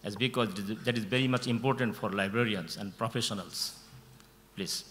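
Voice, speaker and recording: A man's voice: fairly low pitch, a little fast, fairly bright tone. A man with a Bangladeshi accent asking a question from the audience at a conference. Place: large conference hall audience. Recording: noisy, humming reverberant auditorium.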